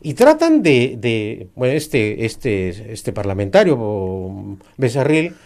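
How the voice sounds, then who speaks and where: Brief: middle-aged Peruvian man, emphatic political guest, lively intonation, hesitant fillers. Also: mid-range voice, slow. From Peru. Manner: emphatic, argumentative, many hesitations. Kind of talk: political interview.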